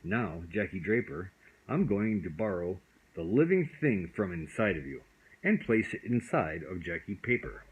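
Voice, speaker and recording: A man's voice: deep voice, sing-song, moderate pace, breathy edge, narrow, tinny audio, exaggerated voice. A man voicing a cheerful, kindly magic dragon in a bedtime storybook, chatty, playful and grandly whimsical. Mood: gentle, showy.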